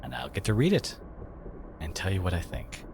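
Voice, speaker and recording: A man playing a devoted, protective boyfriend in an intimate ASMR audio roleplay, speaking haltingly with sighs and whispers, emotional and tender. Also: low voice, wildly swooping pitch, noisy background, full character voice. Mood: tender, reassuring. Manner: halting, sighing, slipping into breathy whispers.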